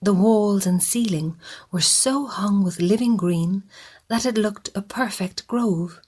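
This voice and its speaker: A woman narrating a radio play in a measured, husky, often half-whispered voice. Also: medium pitch. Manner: measured, hushed.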